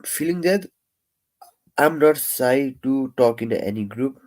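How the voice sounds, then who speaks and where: A young man who stammers, talking in a casual, reflective live-stream monologue with many pauses, fillers and restarts. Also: medium pitch, lively intonation, a little mellow.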